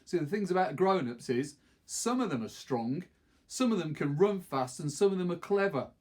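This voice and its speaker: A man's voice: on the high side, expressive, normal speed, a little mellow, voice pushed up. A man voicing a cocky, scornful, stocky teenage boy in a storybook reading, boastful and slangy.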